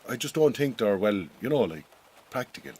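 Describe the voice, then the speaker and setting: An actor playing an awkward, well-meaning Irish farm boss in a radio drama, with an Irish accent and a blunt but stumbling way of talking. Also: male voice, mid-range voice, expressive, fairly quick, darkish tone, slightly rough. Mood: awkward, friendly.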